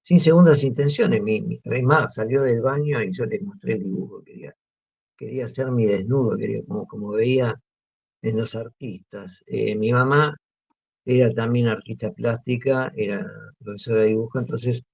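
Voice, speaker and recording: Gravelly-voiced Argentine man, hesitant. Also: medium pitch, normal speed, a little mellow. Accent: Argentine Spanish. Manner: hesitant, many fillers and restarts. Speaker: guest artist. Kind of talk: relaxed interview talk. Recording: muffled lo-fi audio.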